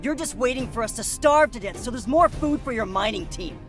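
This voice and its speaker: A man's voice: very high-pitched, expressive, a little fast, fairly bright tone. A man voicing a menacing, callous, hungry thug in a video game, with a mocking, threatening drawl. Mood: aggrieved, sneering. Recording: game music behind the voice. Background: background music.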